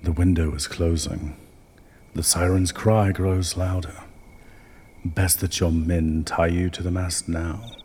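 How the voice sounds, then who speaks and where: A man acts out a cryptic radio-play doctor with a low, heavy voice. He draws out each riddle slowly and portentously, taunting his listener, with a bed of noisy ambience behind him. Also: level tone, a little mellow. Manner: slow, portentous, theatrical delivery of riddles. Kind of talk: radio drama acting.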